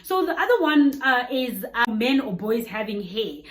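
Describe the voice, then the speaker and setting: A young woman from Congo with a Congolese accent talks to her viewers in a loose, lively vlog. Her voice sits a little high, and she laughs easily and slips into playful mimicked voices. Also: moderate pace. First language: Kikongo-speaking family; Swahili. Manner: animated, chatty, breaks into laughter and mimicked voices. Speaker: vlogger. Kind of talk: casual chat to camera.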